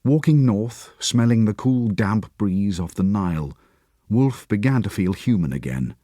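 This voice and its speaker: A male audiobook narrator with a lowish voice that moves widely in pitch as he tells the story. The delivery is measured and expressive, recorded close and dry. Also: no room sound. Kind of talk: scripted narration.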